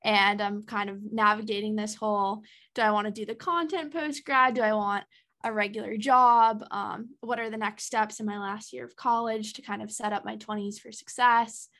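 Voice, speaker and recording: A woman's voice: medium pitch, fairly even intonation, normal speed, a bit bright. A woman in her early twenties, a podcast guest talking in a chatty, casual way with many ums and likes. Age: early 20s.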